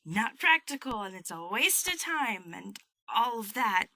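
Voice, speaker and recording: A woman's voice: fairly high pitch, sing-song, sharp tone, heavily acted. A woman acting a hesitant, apologetic young college student in a naturalistic audio drama. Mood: timid, downcast. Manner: halting, apologetic, unsure. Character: hesitant young female college student, hurt but quietly resolute.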